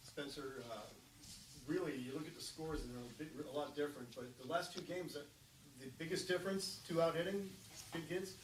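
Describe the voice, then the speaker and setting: A man asking informal, halting questions as a reporter at a press conference. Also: on the high side. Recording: noisy echoing room, far from microphone.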